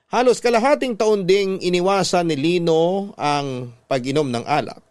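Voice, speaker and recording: A man's voice: on the high side, no echo. A man narrating a heartfelt love letter in the scripted style of a radio storyteller. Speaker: podcast narrator reading a listener's letter.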